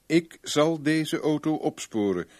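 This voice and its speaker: Shrewd, blunt private investigator. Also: male voice, a little high, lively intonation, slow pace. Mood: firm, matter-of-fact. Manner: probing, wry, plain-spoken. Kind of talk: Dutch radio play acting.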